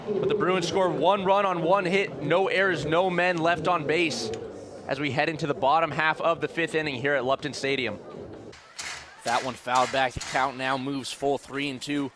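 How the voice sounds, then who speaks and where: A man doing live radio baseball play-by-play as the announcer, excitable on big plays with drawn-out calls. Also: fairly high pitch. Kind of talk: live sports commentary. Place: outdoor baseball stadium broadcast. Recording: loud crowd and stadium noise behind. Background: crowd, ballpark ambience.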